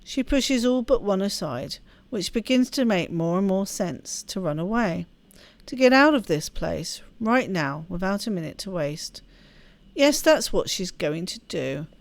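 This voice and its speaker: A woman reading a story aloud as expressive narration for a game playthrough. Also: medium pitch, measured pace, no echo. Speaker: let's play host reading game text aloud.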